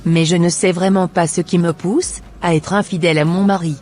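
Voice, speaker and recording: Man voicing married churchwoman, higher pitch, humble, earnest, cartoon dialogue, faint music. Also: fairly even intonation, brisk, darkish tone, lightly acted. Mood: guilty, pleading.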